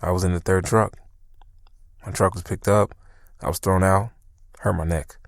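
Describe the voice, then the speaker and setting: A man's voice: low-pitched, fairly even intonation, moderate pace, gravelly. An American man playing a subdued military veteran in an acted interview, with an understated, hesitant delivery. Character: subdued male military veteran, thirties or older, recalling trauma. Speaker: voice actor in a training simulation. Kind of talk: acted clinical interview testimony.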